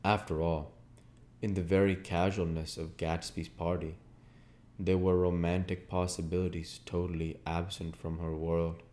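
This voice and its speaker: A man's voice: low voice, flat delivery, moderate pace, darkish tone. A man narrating literary fiction as an audiobook reader, in a scripted style.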